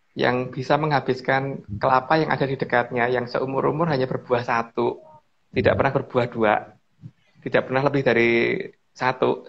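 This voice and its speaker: A man of middle pitch with a gravelly, fry-tinged voice talks through old lore in loose, rambling fashion, stopping and restarting his sentences. The sound is dull and lo-fi, as if over an online call, with a faint hiss underneath. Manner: rambling, many fillers and restarts, addresses host politely. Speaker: guest speaker on Javanese lore. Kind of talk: casual explanatory talk.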